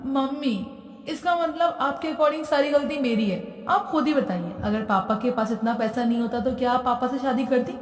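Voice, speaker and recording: A woman's voice: sits high, moderate pace, lightly acted. A woman voicing a spoiled, whiny young bride in a cartoon story, speaking in a petulant, exasperated way. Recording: roomy echo, distant from mic.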